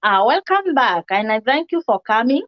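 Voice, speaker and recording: A woman's voice: fairly high pitch, sing-song, moderate pace, dull audio. A woman hosting an interview in a casual, upbeat way, greeting guests and viewers. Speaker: host.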